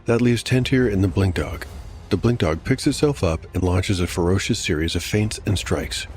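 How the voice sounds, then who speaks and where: A man's voice: deep voice, normal speed, heavy background noise. A man narrating a solo tabletop game as game master, moving between dramatic storytelling and wry asides about the dice. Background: background music.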